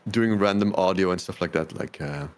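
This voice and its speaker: A man speaks as a guest on a laid-back podcast, his voice on the low side. He talks in an easygoing way, laughing readily and sprinkling in plenty of fillers. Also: a little fast. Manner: relaxed, laughs easily, many fillers like "like". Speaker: podcast guest, game developer. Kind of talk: casual interview chat.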